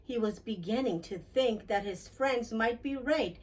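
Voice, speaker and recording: Slow, high-voiced woman reading to children. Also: far from the mic. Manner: expressive, addresses young listeners with questions. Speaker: children's story reader. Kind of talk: read-aloud storytelling for children.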